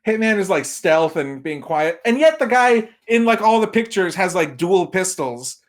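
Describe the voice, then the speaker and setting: A man's voice: sits high, sing-song, normal speed. A man chatting casually and giving emphatic opinions as a podcast guest, repeating points for effect. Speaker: podcast guest, film and game pundit.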